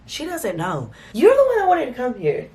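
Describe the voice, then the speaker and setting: A woman's voice: sits high, huge pitch swings, normal speed, warm tone. A woman vlogger talking casually to her viewers, her voice stuffy and congested from a cold. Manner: chatty, informal, addresses viewers as y'all. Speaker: vlogger host. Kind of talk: casual vlog chat to camera.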